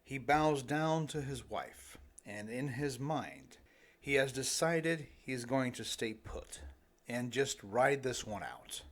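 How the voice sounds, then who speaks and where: Slow-paced middle-aged man reading his audiobook. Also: mid-range voice, lively intonation. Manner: light tongue-in-cheek humour, addresses listeners directly. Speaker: author reading own audiobook. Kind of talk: scripted narration, self-help audiobook.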